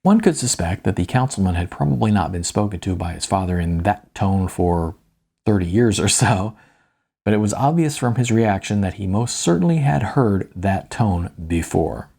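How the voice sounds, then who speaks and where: A man reading his own fiction audiobook in a dramatic, theatrical style with deliberate, suspenseful pauses. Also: on the low side, lively intonation, vocal fry, warm tone, breathy edge. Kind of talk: dramatic scripted narration.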